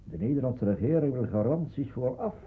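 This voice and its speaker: A man's voice: medium pitch, expressive, a little fast, breathy edge. A man playing a weary, patient, realistic father of a refugee family in a radio drama. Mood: patient, resigned. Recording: thin, phone-like old radio recording.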